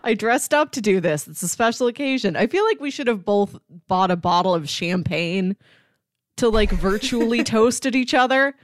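A woman's voice: medium pitch, expressive, normal speed, no echo, studio quality. A woman in her thirties co-hosting a podcast, talking casually about movies. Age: 30s. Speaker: podcast co-host.

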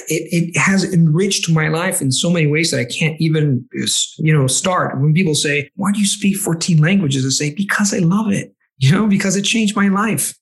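A man, a language coach answering interview questions in a rambling way with many restarts. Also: sits high. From Italy. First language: Italian.

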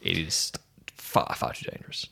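A man acting as game master, narrating a tabletop roleplaying game in measured, descriptive phrases with mid-sentence pauses. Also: bright, forceful tone.

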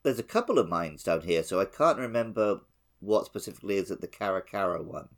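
A man with a low voice co-hosting a casual gaming podcast. His intonation rises and falls a lot, and his replies come out short, dry and teasing. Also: expressive, a little fast. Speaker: podcast co-host. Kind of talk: casual chat about a video game.

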